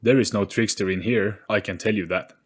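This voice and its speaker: A man's voice: on the low side, fairly even intonation, brisk, husky. A man voicing a brusque, irritated, scruffy man who speaks curtly, in an audio play. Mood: curt, dismissive. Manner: curt, brusque.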